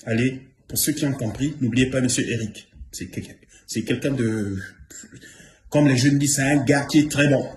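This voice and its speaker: A man's voice: medium pitch, normal speed. A man in his fifties giving an informal, emphatic spoken testimonial, repeating himself for stress. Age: 50s. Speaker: former trainee giving testimonial. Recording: roomy echo, faint noise and clicks.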